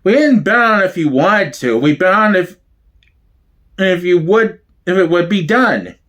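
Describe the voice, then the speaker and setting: High-voiced man giving a theatrical reading. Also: animated, unhurried, a bit bright. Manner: theatrical, switching between characters. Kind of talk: one-man dramatic reading of a sitcom script.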